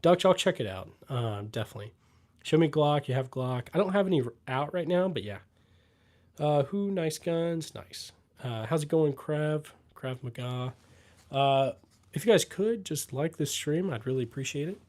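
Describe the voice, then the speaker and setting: A young man from the US Midwest hosting a casual livestream, reading chat comments aloud and answering them with lots of fillers and pauses. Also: fairly high pitch. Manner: relaxed, trailing fillers, pauses to read comments.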